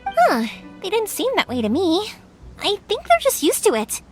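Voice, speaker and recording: Bright-spirited, high-voiced young woman. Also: wildly swooping pitch, moderate pace, full character voice. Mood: friendly, easygoing. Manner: upbeat, chirpy, friendly. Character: cheerful, energetic young woman fireworks maker, helpful and neighborly. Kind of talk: English video game voice acting. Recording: background music under the voice.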